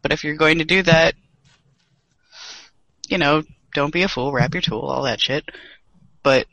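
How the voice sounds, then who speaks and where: A man's voice: a little high, measured pace, creaky, pushed and bright, no echo, poor audio. A young man co-hosting a casual podcast chat, joking and swearing freely, with a dry voice today. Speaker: podcast co-host.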